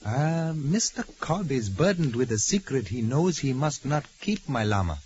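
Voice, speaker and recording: A man's voice: medium pitch, very animated, normal speed, a little mellow, slight background noise, lightly acted. Muffled old radio sound brings in a man playing a wise, reverent manservant. His phrasing is formal and deferential with wide, dramatic inflection, as in 1940s radio serials. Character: reverent, formal, wise servant of a mystic hero. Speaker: radio drama actor and narrator. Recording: dull vintage radio recording, music.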